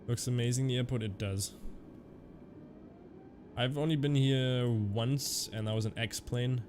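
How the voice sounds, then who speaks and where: A man's voice: mid-range voice, steady tone, a little slow, background noise. Young man with a Canadian-German accent, streaming. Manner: chatty, reads chat aloud and answers viewers. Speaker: flight sim streamer. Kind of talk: casual live-stream commentary.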